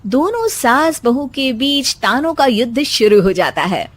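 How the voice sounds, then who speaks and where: High-pitched woman narrating a story. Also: sing-song, unhurried.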